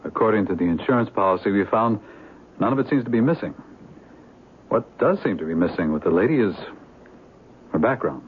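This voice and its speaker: A man's voice: fairly low pitch, lively intonation, fairly quick, pauses often, close to an everyday voice. A man acting a methodical, skeptical police lieutenant in a 1970s radio drama. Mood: matter-of-fact. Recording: muffled, faintly noisy 1970s radio broadcast.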